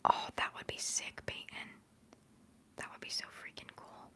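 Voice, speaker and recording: A young woman hosting a livestream, whispering softly as she rambles through chat messages and answers viewers fondly, holding back tears. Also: a little slow. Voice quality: soft whispering voice. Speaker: livestream host.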